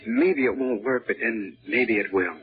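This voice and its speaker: A man's voice: fairly low pitch, unhurried, slightly rough, soft background music. An actor playing a plucky, boastful American boy adventurer in a 1930s radio serial, with slangy, eager storytelling. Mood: earnest scheming. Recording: muffled, tinny 1930s radio recording.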